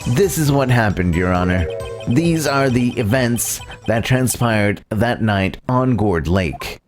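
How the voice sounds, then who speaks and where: A man voicing a young defense lawyer in a courtroom video game, earnest and nervous but determined, explaining in halting, formal speech. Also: medium pitch, lively intonation. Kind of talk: live voiced playthrough of a courtroom video game. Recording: game music under the voice.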